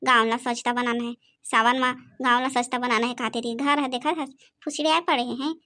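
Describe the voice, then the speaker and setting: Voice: medium pitch, flat delivery, a little fast, pushed and bright. A performer voicing a cheeky, idle village lad who grumbles and teases in a Chhattisgarhi accent for a comedy cartoon. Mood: grumbling.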